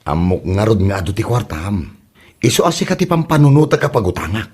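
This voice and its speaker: A man acting a boastful, husky, animated schemer. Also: fairly low pitch, fast talker. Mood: wheedling. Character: boastful, cash-strapped man campaigning for village board member. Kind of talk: Ilocano comedy drama acting.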